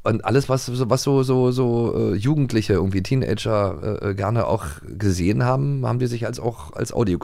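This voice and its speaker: A middle-aged man talks casually as a podcast co-host. His voice is low and gravelly, and he often halts to find the next word. The sound is close and dry. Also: normal speed, few pauses, mellow, no echo. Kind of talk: casual chat.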